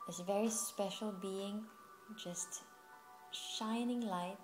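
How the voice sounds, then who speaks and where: A woman leading a guided meditation as a yoga teacher, speaking in a soothing, unhurried way with long pauses. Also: medium pitch, fairly even intonation. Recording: music playing behind the voice. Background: music.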